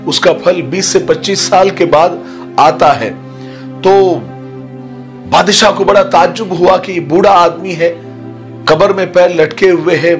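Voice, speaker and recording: A hoarse-voiced man telling a religious story to his online viewers, greeting them and speaking slowly and deliberately. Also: a little high. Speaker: Islamic storyteller and preacher.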